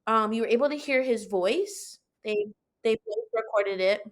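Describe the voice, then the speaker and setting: Woman, high-pitched, casual, colloquial, animated storyteller, podcast conversation. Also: normal speed. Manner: animated, colloquial, acts out own quoted reactions. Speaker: podcast guest telling own experience. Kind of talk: casual chat, personal storytelling.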